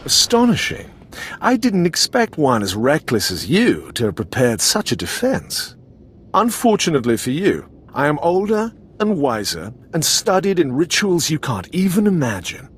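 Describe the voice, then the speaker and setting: An actor playing a cold, haughty older Sith lord in a video game, formal and condescending. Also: male voice, fairly high pitch, huge pitch swings, moderate pace, fairly bright tone, slight background noise. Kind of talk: dramatic video game acting.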